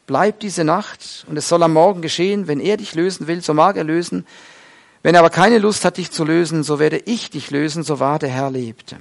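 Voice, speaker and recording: A man's voice: fairly high pitch, brisk, gravelly. A middle-aged man preaching a sermon, explaining a Bible passage in an earnest way with frequent hesitations.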